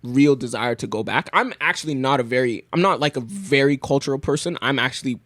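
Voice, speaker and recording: A man's voice: mid-range voice, a bit bright, no room sound. A young man from Canada speaks as a podcast guest, thinking aloud and circling back on his words before landing on a point. His voice sits in a middle register. The recording is close and dry, with no room around it. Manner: thoughtful, halting, many restarts. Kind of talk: casual conversation.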